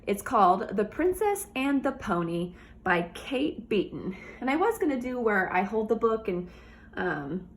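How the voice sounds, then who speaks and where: A woman with an animated, swooping voice and a middle pitch speaks as a teacher to young children. She reads storybook style and chats directly to her listeners as she goes. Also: unhurried. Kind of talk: children's read-aloud with comments and questions.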